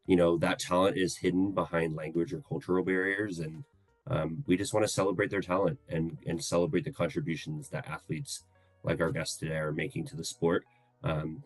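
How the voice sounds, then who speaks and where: Earnest man in his thirties, low voice, dry close sound, careful and a little hesitant. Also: slightly rough, no echo. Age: 30s. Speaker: guest co-host. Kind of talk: casual podcast introduction.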